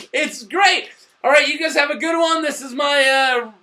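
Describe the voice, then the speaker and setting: A drunk man co-hosting a casual, sweary movie review, excitable and given to shouting. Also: extremely high pitch, a little slow.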